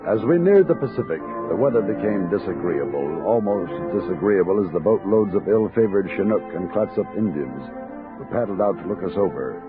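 An actor playing a practical, wry American army captain in a 1960s radio drama, plain-spoken and dry. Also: male voice, fairly low pitch. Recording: dull vintage radio recording with music.

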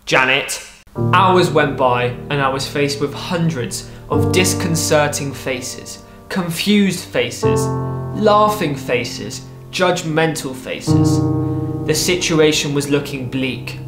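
A young man narrating a personal story in a vlog, lively and wry, with playful asides. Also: fairly high pitch, slow, roomy sound, over loud music. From England. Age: young adult. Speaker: vlogger. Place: small room with a desk lamp, indoors.

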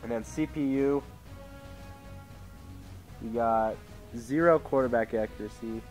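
Sick teen gamer from Idaho, sore throat, rambling home commentary on a headset, mid pitch. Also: male voice, a little slow, a little mellow, faint music behind. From Idaho, USA. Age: teens. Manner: rambling, self-interrupting, reads out settings. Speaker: gaming YouTuber. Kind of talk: casual gameplay commentary. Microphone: headset mic with tangled cords.

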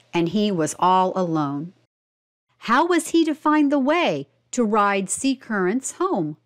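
A woman reading a children's storybook and presenting it instructively, expressively asking young listeners questions. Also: mid-range voice. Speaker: read-aloud narrator and presenter.

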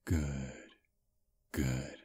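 A man voicing a seductive, calm and predatory male vampire in an ASMR audio roleplay, murmuring and whispering softly. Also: drawn-out words, husky, full character voice. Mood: hypnotic. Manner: low soft hypnotic murmur, frequent whispers.

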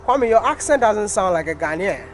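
A man's voice: high-pitched, big pitch swings, normal speed. A young man hosting an outdoor interview on a farm, joking and teasing as he asks questions. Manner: jokey, laughing, teasing. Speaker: YouTube host interviewing a farmer. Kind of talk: casual on-site interview. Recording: background music under the talk.

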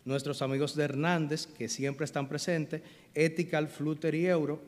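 A man's voice: on the high side, little pitch movement, moderate pace. Middle-aged man speaking in a hall. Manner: earnest, grateful, many hesitations. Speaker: event organizer giving a speech. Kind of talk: formal thank-you speech. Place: large auditorium stage at a lectern. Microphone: lectern microphone. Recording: long reverb of a large hall.

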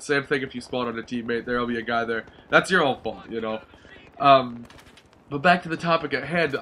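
A man's voice: medium pitch, fairly bright tone, a little hiss or hum. A young man with a blocked, nasal voice from a sinus infection, rambling through casual gaming commentary with many ums, swearing and self-corrections. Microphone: voice-over over gameplay footage.

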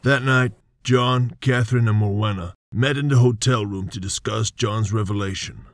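A man narrating a western radio serial in a measured storytelling manner. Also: on the low side, no echo, studio quality. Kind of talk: scripted narration. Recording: narration over loud guitar music.

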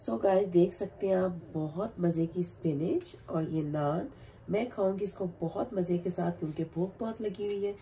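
A woman in her thirties chatting casually to the camera in a home vlog, hoarse from a sore throat. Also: lowish voice, moderate pace, warm tone, a little hiss or hum. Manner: chatty, teasing, addresses viewers directly. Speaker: family vlogger. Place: home kitchen and bedroom.

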